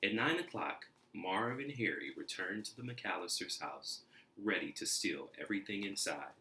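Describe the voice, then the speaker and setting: A man reading a children's storybook aloud in an expressive, deliberate narrating style. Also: low-pitched, noisy background. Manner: expressive, deliberate, acts out sounds. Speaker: storybook reader.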